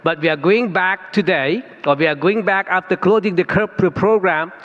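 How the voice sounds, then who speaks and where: A fairly high-voiced man with a Thai accent delivers an earnest keynote in English, his second language, rising into emphatic stress. His voice rings with a long hall reverb, and the recording sounds dull and lo-fi. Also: normal speed. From Thailand. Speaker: keynote speaker. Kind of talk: conference keynote speech.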